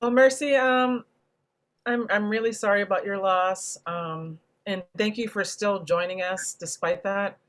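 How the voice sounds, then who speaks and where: A woman hosting a panel discussion, speaking in a reflective, deliberate way and carefully spelling out what she means. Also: medium pitch, fairly bright tone. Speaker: panel host and moderator.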